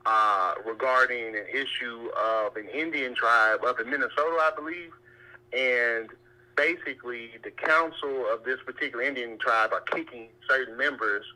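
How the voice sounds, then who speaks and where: Man, very sharp bright voice, medium pitch, halting conversational delivery, caller giving opinions, telephone radio sound. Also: rough recording.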